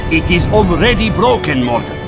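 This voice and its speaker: Proud Ottoman warrior chief, high animated voice, contemptuous, game drama, slightly off-mic. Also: normal speed, distant mic, over loud music. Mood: scornful. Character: scornful, proud Ottoman warrior leader, goading his foe. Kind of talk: video game cutscene acting.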